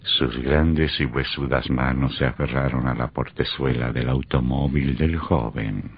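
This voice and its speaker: An actor as the grave narrator of a sinister radio mystery, reading in a dramatic scripted style. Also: male voice, very deep voice, fairly even intonation, measured pace, husky, heavily acted. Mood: grave, suspenseful. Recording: dull old radio recording. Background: music.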